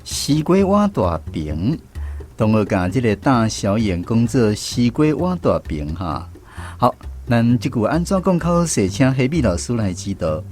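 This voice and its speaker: A man's voice: medium pitch, sing-song, measured pace, darkish tone, soft background music. A man with a Taiwanese accent hosting an educational language quiz on the radio. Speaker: radio host of a language segment.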